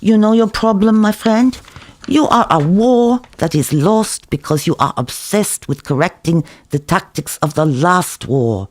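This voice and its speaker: A man's voice: high-pitched, expressive, unhurried. A man voicing a world-weary, hectoring Israeli kebab-shop worker who lectures and cajoles emphatically. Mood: lecturing.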